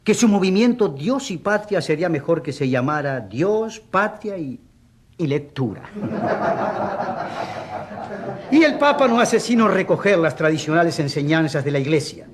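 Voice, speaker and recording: An actor playing a wry, mock-polite defence advocate who argues emphatically in a courtroom radio drama. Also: male voice, on the high side, very animated, normal speed.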